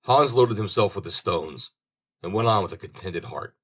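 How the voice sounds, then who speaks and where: A man reading a storybook as an audiobook narrator, with expressive delivery. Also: low voice, moderate pace, husky. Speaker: audiobook reader.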